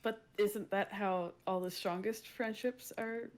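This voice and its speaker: Woman of medium pitch in casual game chat. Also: steady tone, measured pace. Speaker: player in a tabletop roleplaying game. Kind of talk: casual, joking group chat.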